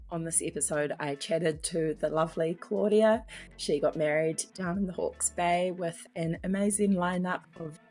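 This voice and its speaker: A woman's voice: on the low side, a little mellow, husky. A woman with a New Zealand accent hosting a podcast, her voice worn from being sick, in a chatty, friendly way. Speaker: podcast host. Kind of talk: casual podcast chat.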